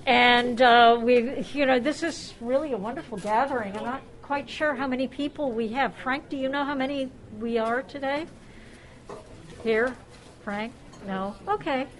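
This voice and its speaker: A woman's voice: high-pitched, normal speed, rough recording. A woman hosting an informal gathering in a busy restaurant, chatty and self-deprecating, speaking off the cuff with jokey exclamations. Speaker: luncheon host welcoming club members. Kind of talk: informal, unscripted welcome to a gathering. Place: club luncheon in a restaurant.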